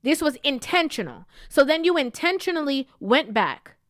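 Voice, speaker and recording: A woman vlogger giving frank, emphatic commentary with a stuffy, sniffly nose, often checking in with a quick "okay?". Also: high-pitched, sing-song, a bit bright, dry recording, studio quality. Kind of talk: opinionated commentary.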